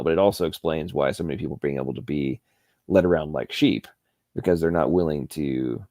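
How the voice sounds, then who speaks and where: Very deep gravelly man, opinionated. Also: dark tone, no echo, studio quality. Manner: opinionated, emphatic. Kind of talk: casual discussion.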